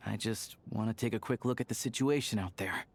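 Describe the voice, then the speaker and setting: An actor playing an easygoing, dependable young theater worker in a video game, speaking in a plain, informal, steady way. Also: male voice, lowish voice, brisk, slight background noise, lightly acted. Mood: calm, resolute.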